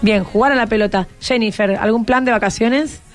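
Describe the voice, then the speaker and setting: A woman's voice: on the high side, brisk. A woman with a Rioplatense Argentine accent hosting a radio interview in casual, encouraging chat, asking short informal questions. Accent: Rioplatense Argentine Spanish. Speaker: radio host. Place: radio programme recording. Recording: music playing under the voice. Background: music.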